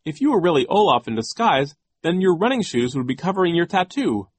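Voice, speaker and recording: A man's voice: a little high, very animated, fairly quick, husky, no room sound, voice pushed up. Man voicing a bold, clever young boy. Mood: mock joking. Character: earnest clever young boy feigning joking boldness.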